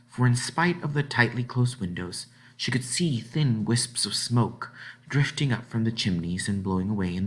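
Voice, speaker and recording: A man narrating a children's book aloud in an expressive storytelling style, with dramatic phrasing and drawn-out words. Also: fairly low pitch.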